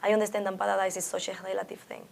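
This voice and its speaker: A woman's voice: medium pitch, little pitch movement, very fast, creaky. A woman from the Dominican Republic with a Dominican Spanish accent, a Spanish speaker being interviewed about her art, reflective and often restarting her sentences. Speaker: artist interviewee. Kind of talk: oral history interview.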